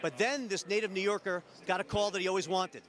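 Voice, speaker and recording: A man's voice: high voice, quick pace, a bit bright. A man reading scripted narration for a news feature as a reporter.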